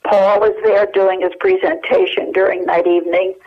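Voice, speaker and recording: A woman's voice: medium pitch, very animated, normal speed. An older woman, a guest on the phone, recalling family stories in a rambling way with many fillers. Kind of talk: telephone interview. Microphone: telephone. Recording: muffled telephone line.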